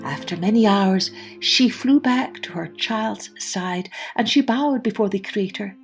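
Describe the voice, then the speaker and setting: Slow-paced woman telling children's stories. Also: mid-range voice, faint music behind. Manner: expressive, deliberate phrasing. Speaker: storyteller and podcast host. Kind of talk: scripted children's story narration.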